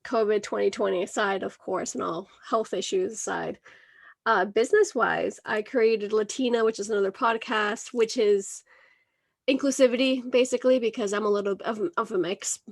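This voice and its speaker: A woman's voice: mid-range voice, steady tone, normal speed, gravelly, no echo. A woman in her thirties from Venezuela, hosting a podcast interview in a bubbly, chatty way and laughing often between phrases. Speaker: podcast host. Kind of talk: casual interview chat.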